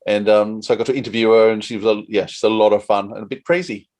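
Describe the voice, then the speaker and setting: Fast-talking man. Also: on the low side, steady tone, a little mellow. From Australia. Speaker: podcast interviewer. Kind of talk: casual interview chat.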